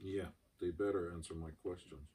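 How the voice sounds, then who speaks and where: Gruff, callous male teacher, read by a man, low, very raspy, off-mic, amateur drama. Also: steady tone, moderate pace, warm tone. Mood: muttering. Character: gruff, callous, irritable male schoolteacher Mr. Guilford. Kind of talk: amateur dramatic script reading. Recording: distant microphone.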